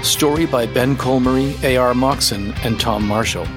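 A man's voice: medium pitch, moderate pace. Man reading podcast credits over guitar music. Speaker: credits announcer. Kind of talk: scripted podcast credits read.

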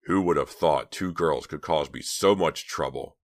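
A man voicing a big, cold, menacing male killer in a storybook reading, speaking low and slow. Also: dry recording, clean studio sound.

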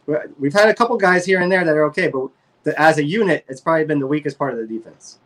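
A man's voice: fairly high pitch, fast talker, fairly bright tone. A man with an American accent, talking sports casually as a panelist on a fan show.

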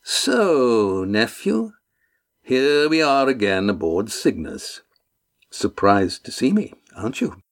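A man voicing a menacing, sneering ship's master in an audiobook, with a smooth, taunting, overbearing manner. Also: mid-range voice, sing-song, slow. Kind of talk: audiobook character dialogue.

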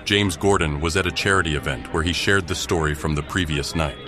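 A man narrates a scripted audio drama in a deep voice. His delivery is dramatic storytelling. Music plays clearly behind him. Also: fairly quick.

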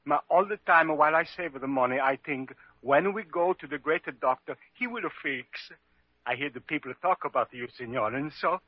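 Actor as a humble Italian immigrant, halting English. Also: male voice, fairly high pitch, dry recording, close to an everyday voice. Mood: sorrowful, pleading. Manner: halting broken English, deferential. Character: humble, devoted Italian immigrant street violinist. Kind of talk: old-time radio drama acting. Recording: dull vintage radio recording.

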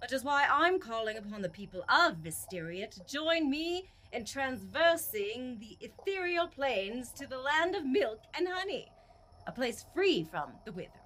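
A woman's voice: sits high, sing-song, moderate pace, pushed and bright, exaggerated voice. An actress playing a haughty, cruel female emperor in an audio drama, with an imperious, mocking, theatrical delivery. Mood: grave. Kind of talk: audio drama villain acting.

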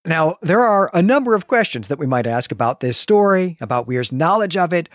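A man co-hosting a podcast, retelling and analysing a story in a measured, explanatory way. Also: fairly high pitch, very animated, no room sound, studio quality. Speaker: podcast co-host.

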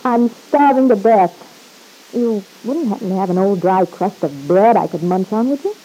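An actress playing a breezy, wisecracking young nightclub hostess in an old-time radio serial. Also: female voice, mid-range voice, animated, normal speed, mellow, slightly rough, soft background music. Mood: breezy, friendly. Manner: playful, wisecracking, casual. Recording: muffled old radio broadcast recording.

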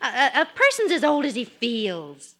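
A motherly middle-aged wife in a vintage radio comedy. Her crisp, bright voice swoops expressively as she reproves and coaxes. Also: high-pitched, huge pitch swings, normal speed, exaggerated voice. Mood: soothing. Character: sensible, scolding yet devoted wife about forty. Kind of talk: 1940s-50s radio sitcom acting. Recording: vintage radio show recording.

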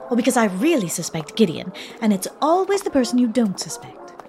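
A woman playing a chic, talkative, anxious young widow in a comic radio mystery, in an expressive theatrical style. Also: on the high side, brisk, over music. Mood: breezy, wistful. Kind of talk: radio drama acting.

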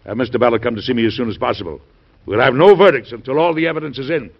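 A man playing a principled, firm and fair-minded President in a measured, dignified 1940s radio drama style. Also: mid-range voice, natural voice. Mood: firm, resolute. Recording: dull old radio drama recording.

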